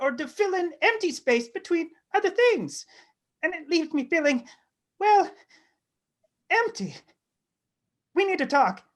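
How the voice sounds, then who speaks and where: Someone putting on a high, whiny, neglected crayon. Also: fairly bright tone, heavily acted.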